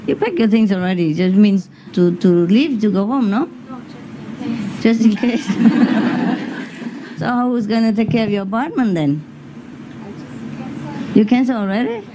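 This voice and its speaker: A woman's voice: mid-range voice, lively intonation, unhurried, long pauses. Chatty woman teacher in a noisy hall. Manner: chatty, teasing, laughs often, brief questions. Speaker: spiritual teacher leading a gathering. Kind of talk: informal question-and-answer with audience. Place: large indoor meeting hall with an audience.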